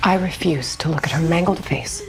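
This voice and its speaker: A woman's voice: deep voice, a little fast, vocal fry. An actress playing a haughty, disdainful aristocratic woman in an audio drama, speaking with scorn. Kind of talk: dramatised audio series acting. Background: music.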